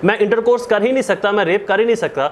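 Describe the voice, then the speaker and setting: A man's voice: high-pitched, quick pace. A man with a Pakistani accent giving a didactic law lecture, repeating points, posing and answering his own questions and switching into English legal terms. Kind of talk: explanatory lecture.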